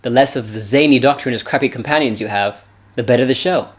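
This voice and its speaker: A man's voice: mid-range voice, fast, lightly acted. A man playing a zany, self-mocking time-traveller in an amateur sci-fi parody, with a glib, mock-serious, wordy delivery. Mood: flippant.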